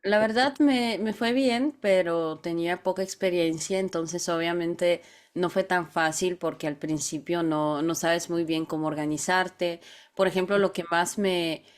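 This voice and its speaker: Woman, Mexican Spanish accent, Bulgarian native, slightly low voice, fluent, relaxed. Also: unhurried. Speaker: guest. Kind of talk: casual conversation.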